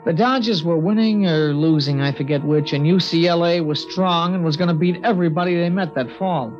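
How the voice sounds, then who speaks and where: A man's voice: fairly high pitch, fairly quick, faint music behind, close to an everyday voice. An actor playing a thoughtful, earnest teenage boy who narrates a 1950s radio drama, from a dull old recording. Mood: somber. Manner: earnest, reflective, somber.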